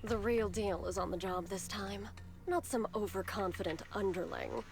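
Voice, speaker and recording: A woman's voice: mid-range voice, moderate pace, creaky, natural voice. An actress playing a composed, sly female schemer in a video game, with a teasing, unruffled manner. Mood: cool, persuasive. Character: composed, sly female schemer, coolly needling her ally. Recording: dramatic music under the voice.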